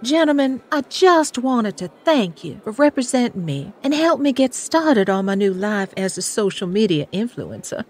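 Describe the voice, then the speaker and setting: A woman's voice: on the high side, big pitch swings, normal speed, few pauses, darkish tone. A woman playing a gushing, self-adoring former villain queen turned influencer in an audio comedy, with a regal manner. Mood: gracious.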